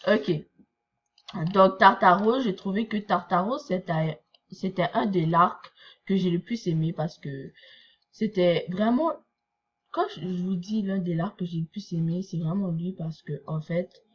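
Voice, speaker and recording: A woman's voice: fairly low pitch, unhurried, lo-fi sound, slight background noise. Young Québécois woman, rambling chatter. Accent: Québécois French. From Quebec, Canada. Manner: chatty, rambling, many fillers. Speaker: anime reaction vlogger. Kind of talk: casual live manga reading and reaction.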